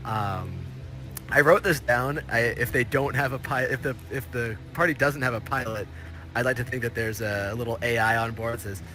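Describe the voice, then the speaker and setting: A man's voice: medium pitch, normal speed, bright, forceful tone. A man narrating a tabletop game as game master, casual and meandering with many restarts.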